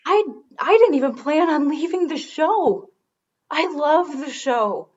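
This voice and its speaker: A woman's voice: sits high, lively intonation, measured pace, muffled recording. A woman playing a wry, regretful radio show host in a conversational comedy radio drama. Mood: defensive, regretful.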